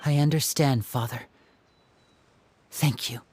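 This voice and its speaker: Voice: medium pitch, fairly even intonation, slow pace, darkish tone, a little hiss or hum, close to an everyday voice. An actor playing an earnest, humble, respectful young man devoted to his family, in a scripted video game drama. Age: young adult. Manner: earnest, respectful, self-doubting. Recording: music bed behind the voice. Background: background music.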